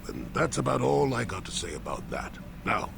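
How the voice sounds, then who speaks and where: Grumpy, folksy old man for a video game; gravelly, very animated delivery. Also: mid-range voice, normal speed. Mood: gruff, dismissive. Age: older. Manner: cantankerous, rambling, folksy. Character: grumpy, wry old man, feigning senility. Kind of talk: video game character dialogue. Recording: game audio under loud noise.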